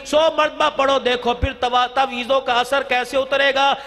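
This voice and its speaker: Guy preaching islamic sermon, really high sharp voice, sing-song chanting delivery, tons of reverb. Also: level tone. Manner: declamatory, drawing phrases out into a chant. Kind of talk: impassioned Islamic sermon to an audience. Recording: long hall reverb, music-like sound behind.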